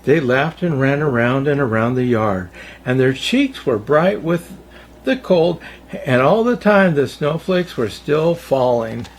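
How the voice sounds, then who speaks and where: A man reading a children's storybook aloud as a storyteller, in a theatrical, deliberate way. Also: medium pitch, big pitch swings, slight background noise. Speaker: storyteller, Santa story-time host.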